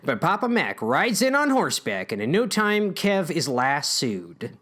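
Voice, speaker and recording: A man's voice: fairly high pitch, huge pitch swings, measured pace, fairly bright tone. A man reading a scripted comic story in a theatrical way, with wry asides. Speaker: writer and narrator of a parody story.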